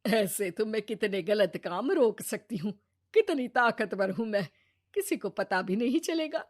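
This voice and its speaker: A woman voicing a kindly, curious, secretly mischievous elderly mother-in-law in a cartoon story, with an expressive delivery. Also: medium pitch, measured pace. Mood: scheming, pleased. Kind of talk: animated moral story dialogue.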